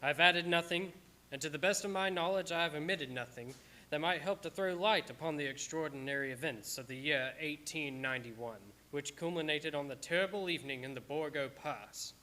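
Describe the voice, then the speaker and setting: A man acts out an earnest doctor narrating a radio play. His voice is somewhat high and bright, with formal, measured declamation. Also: low-quality recording. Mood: formal gravity.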